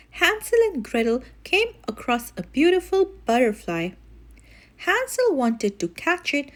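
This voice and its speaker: Animated, high-voiced woman reading to children. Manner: animated, dramatic emphasis. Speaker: children's story reader and channel host. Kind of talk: expressive read-aloud storytelling for kids.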